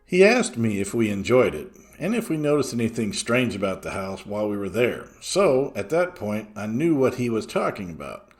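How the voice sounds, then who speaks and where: Man narrating with lively, varied pitch. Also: moderate pace, few pauses, faint music behind. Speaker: podcast host reading listener stories. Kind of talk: scripted first-person story narration.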